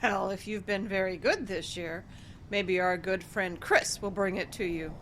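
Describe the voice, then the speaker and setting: A woman's voice: mid-range voice, steady tone, measured pace, fairly bright tone, slight background noise, lightly acted. A woman playing a practical library boss with a dry, teasing, sensible manner in radio play dialogue.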